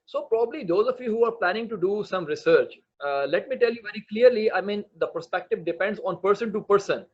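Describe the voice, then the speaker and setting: A fairly high-voiced man from Pakistan, speaking English as a second language, leads an online lecture. He explains earnestly and pauses often on fillers. The audio through his computer mic sounds slightly dull. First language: not English. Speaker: medical writing instructor.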